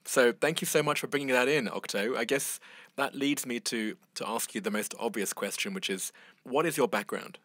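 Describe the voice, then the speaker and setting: A man hosts a conversational interview in a bright, forward voice of medium pitch. The recording is close and very dry, with hardly any room in it. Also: normal speed, dry recording. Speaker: podcast host, interviewer.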